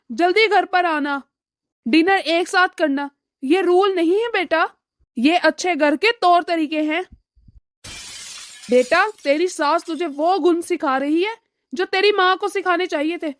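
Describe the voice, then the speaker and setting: A woman voicing a weary, moralizing middle-aged father who lectures his family in an animated drama. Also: very high voice, no room sound, clean studio sound. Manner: admonishing, lecturing.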